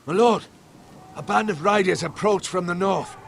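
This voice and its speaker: A man voice acting a loyal, cautious retainer in a fantasy game drama, gravelly, deferential and watchful toward his lord. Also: on the low side, animated, moderate pace, a little hiss or hum. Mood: urgent.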